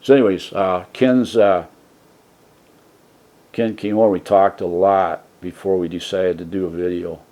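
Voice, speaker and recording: A man hosting his own channel, talking casually and softly from his trailer home, rambling with many fillers and restarts. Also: low-pitched, steady tone, measured pace. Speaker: YouTube channel host. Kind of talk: casual rambling vlog talk. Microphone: desk mic set for a soft voice.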